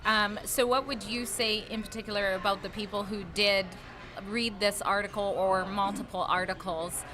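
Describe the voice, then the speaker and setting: A woman interviewer asking measured, probing questions. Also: medium pitch, steady tone. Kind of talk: news interview. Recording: clip with noisy ambience.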